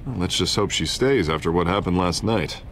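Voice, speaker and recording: An actor playing an affable, confident politician in a video game, smooth-talking. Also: male voice, low voice, lively intonation, normal speed, a bit bright, a little hiss or hum. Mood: concerned. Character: affable, confident male politician, protective of his date.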